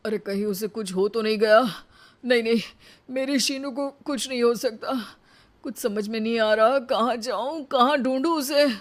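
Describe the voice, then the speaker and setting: A woman's voice: a little high, normal speed, natural voice. A woman voicing a cocky, teasing young husband in a cartoon, devoted underneath, with a drawling delivery. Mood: worried.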